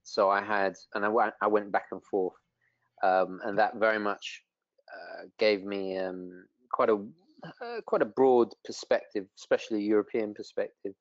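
Halting English man with Italian roots. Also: on the low side, no room sound, highs cut off. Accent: English, with a trace of Italian. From England. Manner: halting, many restarts and fillers. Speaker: guest. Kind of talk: casual interview chat.

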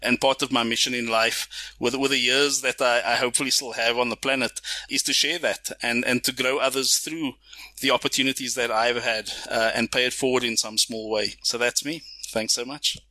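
A middle-aged South African man with a South African accent, a podcast guest telling his life story in a measured, reflective way. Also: medium pitch, fairly even intonation, pushed and bright, no echo, low-quality recording. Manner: measured and reflective, frequent restarts. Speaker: guest, business school coach. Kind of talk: casual podcast chat.

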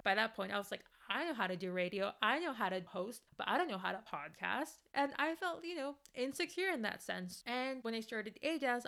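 A woman's voice: medium pitch, gravelly, a bit bright. A young woman, a native Spanish speaker with an accent, hosting her podcast and talking in an earnest, encouraging way with many fillers. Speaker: podcast host. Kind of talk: casual solo podcast talk.

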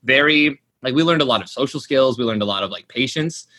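A man's voice: medium pitch, animated, fairly quick, pushed and bright. A man in his late twenties chatting casually, rambling with lots of fillers and restarts. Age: 20s. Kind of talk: casual chat.

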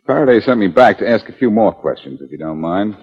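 A man's voice: fairly low pitch, animated, fast, slightly rough, soft background music. A man playing a brash, wisecracking amateur detective in a 1940s radio drama, with a wry, needling and assured manner. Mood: probing. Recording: muffled, dull 1940s radio recording.

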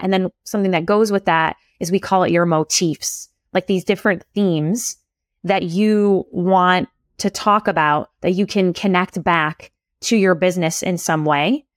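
A woman with a lowish voice talks casually as a podcast guest about writing and storytelling. She chats in a loose, filler-heavy way, with words like "like" and "you know" throughout. The sound is dry and close, with no room around her. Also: dry recording. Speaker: copywriter and storytelling coach, guest.

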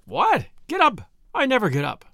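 A man's voice: sits high, very animated, gravelly, a bit bright, dry recording, studio quality, pitched above the performer's own voice, exaggerated voice. A man voicing a teasing, exuberant male friend in an audiobook, needling in a mock-grandiose way. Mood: playful, teasing. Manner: mock-grandiose, needling, rapid-fire exclamations. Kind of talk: audiobook character dialogue.

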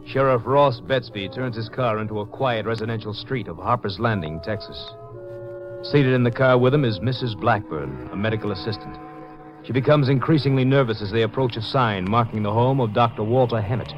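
A muffled vintage radio recording with clear music underneath a man performing as a sober crime-drama narrator. His American voice is medium in pitch, measured and matter-of-fact. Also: lightly acted. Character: sober male narrator of a police case reenactment.

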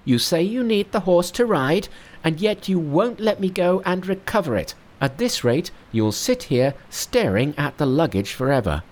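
A man voicing a brash, boastful, hot-tempered monkey king in a storybook reading. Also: normal speed. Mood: angry. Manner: shrill, excitable, boastful.

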